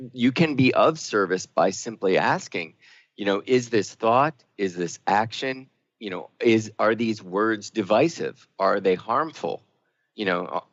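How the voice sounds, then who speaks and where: A man's voice: low voice, dry recording. A man hosting a spiritual talk radio show, speaking in an earnest, musing way and affirming his co-host with "right" and "indeed.". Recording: dull lo-fi studio sound, dry.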